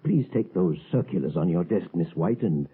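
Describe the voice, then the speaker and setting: Raspy, nervous scheming professor. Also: male voice, deep voice, lively intonation, normal speed, full character voice. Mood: flustered. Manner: agitated, stammering, evasive. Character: nervous scheming professor, shifty male swindler. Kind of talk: 1930s radio drama acting. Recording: muffled, narrow old radio recording.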